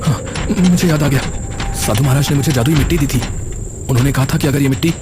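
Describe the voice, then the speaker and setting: A man's voice: mid-range voice, fast talker, noisy background, lightly acted. A man playing a bold, curious young traveler with a direct, questioning way of talking in a cartoon story. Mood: determined.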